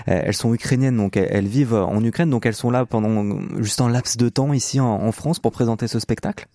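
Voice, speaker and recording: Male radio host, dark mellow tone, close dry sound, halting conversational questions. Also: mid-range voice, dry recording. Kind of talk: conversational live radio interview.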